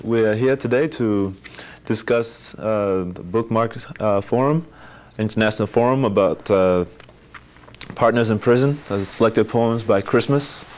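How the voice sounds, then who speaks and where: A man from Nigeria hosts a panel discussion in a fairly low voice. He speaks haltingly, leaning on frequent fillers as he introduces the guests. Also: a little mellow. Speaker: moderator.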